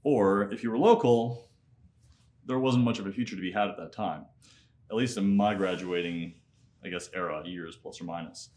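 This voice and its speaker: Male interview guest, thirties, raised in Nepal, American accent, lower pitch, reflective conversational storytelling. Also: normal speed, darkish tone. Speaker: interview guest, MBA student and entrepreneur.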